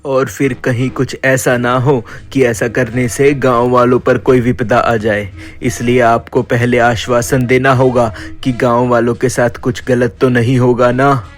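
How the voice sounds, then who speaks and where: A reasonable, conciliatory village headman voiced by a man in a cartoon tale. He speaks politely in a middling, very even voice that barely rises or falls, with music underneath. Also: medium pitch, a little slow, natural voice. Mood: reasoning. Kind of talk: cartoon story acting. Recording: background music.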